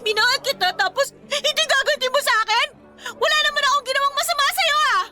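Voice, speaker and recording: Shrill, fiery jealous Filipina girlfriend. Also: quick pace, pushed and bright, faint music behind, exaggerated voice. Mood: furious, hurt. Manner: confrontational, emotional, taunting. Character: jealous, fiery young woman, betrayed girlfriend. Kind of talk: Filipino radio romance drama acting.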